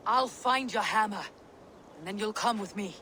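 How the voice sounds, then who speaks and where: A woman's voice: medium pitch, normal speed, sharp tone, natural voice. Woman voicing a tough no-nonsense viking warrior, firm and plain, noisy game audio behind. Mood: dry. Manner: firm, plain-spoken, commanding. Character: steadfast Viking warrior, blunt and dutiful. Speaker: game protagonist. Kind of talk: video game cutscene acting.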